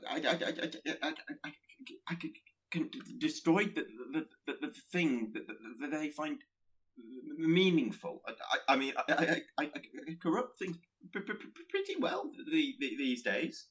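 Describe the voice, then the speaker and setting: A man playing a nervous, eager spirit of pestilence in a radio play, timid and halting, with a heavy stutter. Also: fairly high pitch, sing-song, a bit bright, husky, heavily acted. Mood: hesitant. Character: nervous, eager male spirit of pestilence who delights in corruption.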